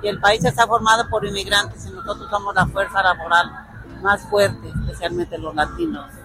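A woman's voice: mid-range voice, normal speed, music in the background, low-quality recording. A woman interviewed at a street march, speaking indignantly with an uneven pace. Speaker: march participant.

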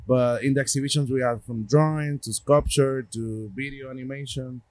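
Puerto Rican man in relaxed talk. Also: medium pitch, normal speed, no room sound. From Puerto Rico. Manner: rambling, many restarts and fillers. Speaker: gallery owner and artist. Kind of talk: casual interview talk.

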